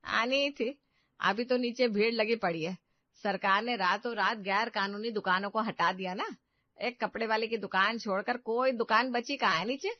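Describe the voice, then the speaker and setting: Older mother-in-law, scolding played by a woman, higher-than-average voice, lo-fi cartoon sound. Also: normal speed, lightly acted. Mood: matter-of-fact. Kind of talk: Hindi moral-story cartoon dialogue.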